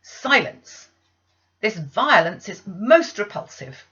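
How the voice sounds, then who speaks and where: A woman's voice: mid-range voice, big pitch swings, slow pace, pushed and bright, muffled recording, voice pushed up, heavily acted. A woman voicing a cold, stern mistress of the house in a peremptory, formal manner, in a storybook reading. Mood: outraged.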